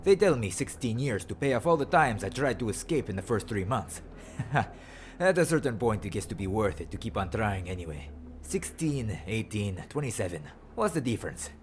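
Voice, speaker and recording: Sarcastic laborer with swooping pitch. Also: male voice, sing-song, moderate pace, slight background noise. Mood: wry, defiant. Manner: sardonic, grumbling. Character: sarcastic, defiant male indentured laborer. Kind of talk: science fiction audio drama acting.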